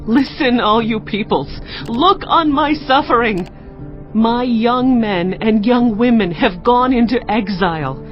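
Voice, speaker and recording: A woman playing a grieving, desolate fallen city in a dramatized Bible reading, lamenting with slow, solemn sorrow. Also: on the high side, natural voice. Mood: sorrowful, remorseful. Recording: muffled, loud music behind.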